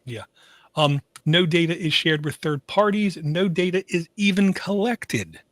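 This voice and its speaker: A man's voice: fairly high pitch, unhurried, no room sound, clean studio sound. Wry, sarcastic male podcast co-host. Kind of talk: casual chat.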